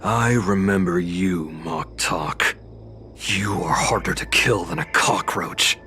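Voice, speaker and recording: A man playing a cool, cynical young retainer to a general in a video game, with a dry, controlled, quietly threatening delivery. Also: low-pitched, takes it slowly, exaggerated voice. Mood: cold threat. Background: game music.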